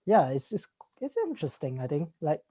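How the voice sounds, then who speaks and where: A man's voice: on the high side, wildly swooping pitch, a little fast, mellow. A young man from Singapore with a Singaporean accent, a student guest talking easily in a casual interview and filling his speech with "sort of" and "like.". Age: young adult. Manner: easygoing, fills with "sort of" and "like".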